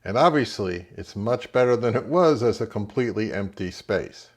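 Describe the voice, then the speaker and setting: A middle-aged man narrating a hi-fi vlog in a conversational, measured, explanatory way. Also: lowish voice, animated, echoey room. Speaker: hi-fi YouTuber. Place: indoors in a home room.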